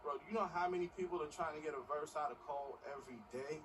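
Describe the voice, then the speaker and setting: A friendly, blunt man in a video game scene, played by an actor. He speaks in breezy slang at a slightly raised pitch. He sounds away from the mic, with clear noise behind. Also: rapid-fire, recorded from a distance, close to an everyday voice. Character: breezy, blunt, friendly male celebrity acquaintance. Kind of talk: video game cutscene dialogue in casual slang.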